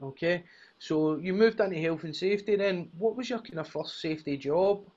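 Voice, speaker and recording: A man's voice: high voice, normal speed, a little mellow, dull audio. A man hosting a podcast, giving short upbeat prompts in casual interview style. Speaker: podcast host.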